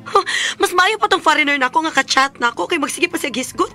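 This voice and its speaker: A woman's voice: high voice, lively intonation, fast, bright, forceful tone. A woman acting a restless, evasive young girlfriend in a radio drama, speaking with a Cebuano Filipino accent. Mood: weary, blunt. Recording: radio drama over music bed.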